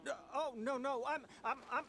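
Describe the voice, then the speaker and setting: A man's voice: very high-pitched, fairly even intonation, normal speed, sharp tone, slight background noise. An actor playing a frightened, stammering male debtor who pleads for mercy in a Western video game. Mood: pleading, afraid.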